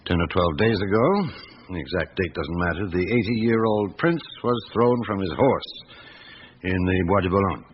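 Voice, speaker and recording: A very low male voice from an actor as a patient Paris police inspector in a vintage radio play. He questions people in a measured, persistent manner. The recording is muffled, with audible noise. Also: lightly acted. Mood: deliberate, pressing. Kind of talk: classic radio drama acting.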